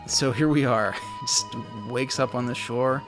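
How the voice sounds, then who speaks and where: A man with a naturally low voice, casually commentating a video game he loves, a little mumbly and self-deprecating. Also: fairly even intonation, a little slow, over music. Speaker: let's play host.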